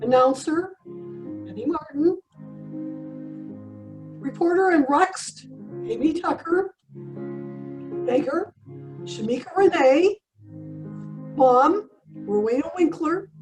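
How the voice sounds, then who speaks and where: A woman's voice: high-pitched, expressive, takes it slowly, long pauses, low-quality recording. A woman announcer giving a scripted sign-off in the style of old-time radio. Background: plucked string music.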